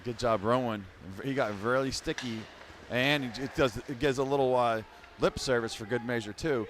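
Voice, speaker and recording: A man's voice: medium pitch, moderate pace, a bit bright, a little hiss or hum. Laid-back man doing rinkside hockey commentary. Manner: rambling, restarts, laid-back analysis. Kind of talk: casual game commentary. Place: rink stands.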